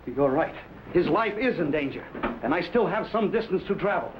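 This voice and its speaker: An actor playing an anxious, earnest medieval explorer with theatrical, old-fashioned phrasing in a vintage adventure serial. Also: male voice, on the high side, lively intonation, normal speed, lightly acted. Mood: grateful, puzzled. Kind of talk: 1950s adventure serial acting. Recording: noisy muffled vintage serial soundtrack.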